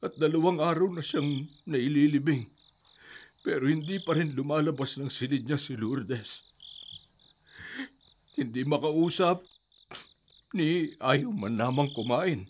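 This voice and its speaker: A man's voice: fairly high pitch, unhurried, pauses often, a little hiss or hum, lightly acted. A man playing a grieving middle-aged father who speaks in a somber, subdued way in a radio drama.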